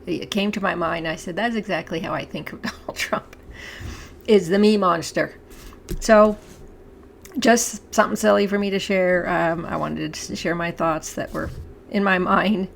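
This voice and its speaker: A woman, hosting her own channel, talking to camera in a chatty, halting way with a hoarse voice and frequent throat-clearing. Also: lowish voice, fairly even intonation, a little hiss or hum. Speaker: channel host. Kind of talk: casual talk to camera.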